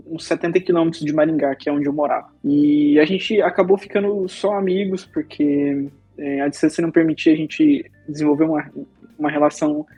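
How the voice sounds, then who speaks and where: Husky, mellow young Brazilian man. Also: a little high. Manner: unhurried, hesitant with many "é" fillers. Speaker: guest. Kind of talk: casual storytelling. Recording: dull lo-fi sound.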